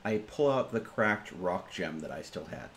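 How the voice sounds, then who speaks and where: A man's voice: sits low, expressive, normal speed, fairly bright tone, slight background noise. A man playing a tabletop roleplaying game, chatting casually in and out of character with playful banter.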